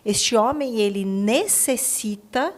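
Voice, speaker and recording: Higher-pitched Brazilian woman hosting a podcast. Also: animated, slow. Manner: emphatic, colloquial, seeks agreement with tags. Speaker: podcast host. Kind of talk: conversational podcast interview.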